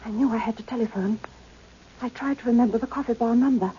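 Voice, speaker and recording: A woman's voice: a little high, fast talker, dark tone, close to an everyday voice. An actress playing a weak, shaken and distressed young woman in a 1960s radio drama. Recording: dull, noisy old radio recording.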